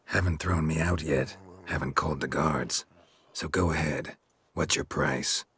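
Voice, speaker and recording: An actor playing a gruff middle-aged monster hunter in a fantasy game, speaking tersely and drily with a gravelly voice. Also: male voice, a bass voice, measured pace, no room sound, full character voice. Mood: terse, businesslike. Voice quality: gravelly, husky. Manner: terse, dry, deadpan. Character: gruff, deadpan monster-hunting witcher, middle-aged man. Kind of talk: video game acting.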